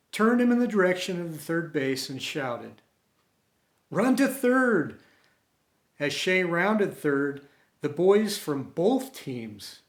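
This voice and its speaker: A man with a slightly higher voice tells a story at an unhurried pace. He reads expressively and dramatically, and the room echoes around him. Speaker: storyteller and host. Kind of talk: expressive storytime read-aloud. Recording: roomy, echoey home recording.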